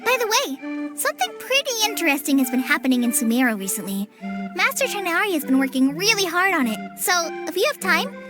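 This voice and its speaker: Eager high-pitched young game girl. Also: a little fast, over music. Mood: friendly. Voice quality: youthful, girlish. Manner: polite, excitable. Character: bright, eager young girl, polite and sweet. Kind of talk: video game cutscene acting.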